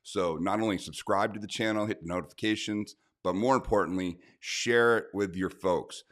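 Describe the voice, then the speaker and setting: A man's voice: on the low side, moderate pace, fairly bright tone. A male host wrapping up an interview segment in an upbeat, promotional manner. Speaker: show host. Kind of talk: interview host and channel outro.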